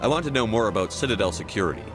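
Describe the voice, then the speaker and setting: Casting: actor as blunt human male game hero, lowish voice, quick and terse, inquisitive. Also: lively intonation, music in the background, close to an everyday voice. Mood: curious, direct. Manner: direct, terse questioning. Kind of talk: video game dialogue.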